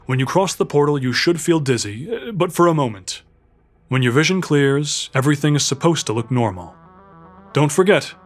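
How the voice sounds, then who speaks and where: A man's voice: medium pitch, pitched above the performer's own voice, natural voice. A man voicing a careful scientist father giving instructions in an audiobook, explanatory and slightly hesitant.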